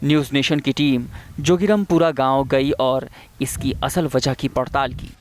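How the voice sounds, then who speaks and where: Male Hindi news voice-over, mid-pitched. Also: fairly even intonation, normal speed. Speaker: news reporter narrating a report.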